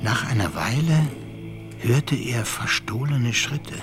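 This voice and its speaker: Narrator for radio play: reflective, measured, literary delivery, middle register, clear music bed. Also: male voice, medium pitch, lively intonation. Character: reflective male storyteller recounting a friend's ordeal. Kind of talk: scripted radio drama narration.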